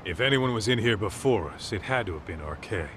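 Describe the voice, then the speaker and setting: An American man playing a gruff, blunt, streetwise detective's sidekick in a game cutscene, talking informally. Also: fairly low pitch, expressive, fairly quick, fairly bright tone, a little hiss or hum. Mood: matter-of-fact. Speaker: video game voice actor. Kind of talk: English dub game cutscene dialogue.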